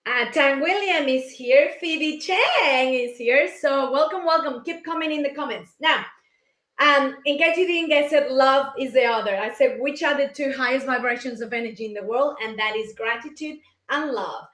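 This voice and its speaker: A woman's voice: high-pitched, moderate pace, fairly bright tone. A woman in her forties from Mexico with a Mexican accent, giving an enthusiastic, chatty motivational talk on a live stream and greeting viewers. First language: Spanish. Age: 40s. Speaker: life coach hosting a live session. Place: colorful home office. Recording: echoey room.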